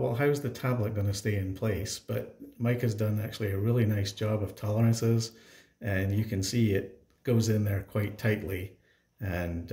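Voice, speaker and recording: Guy with a low voice doing a casual diy walkthrough at home, kinda halting as he explains. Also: warm tone. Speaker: DIY home installer presenting his project. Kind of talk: casual how-to narration of a home installation. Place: indoors in a home.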